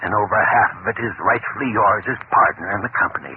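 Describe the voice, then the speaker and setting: An actor playing an awkward, contrite older businessman, halting and formal, in old radio drama style. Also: on the low side, lightly acted. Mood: stiff, contrite. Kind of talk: 1940s radio drama acting. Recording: tinny, noisy old radio recording.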